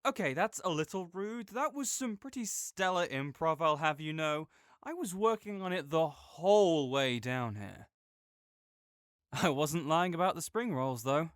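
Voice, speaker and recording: A young man playing a caring, easygoing friend who speaks gently and reassuringly to the listener in an audio roleplay, with some soft joking. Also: a little high, lively intonation, measured pace, dry recording, studio quality.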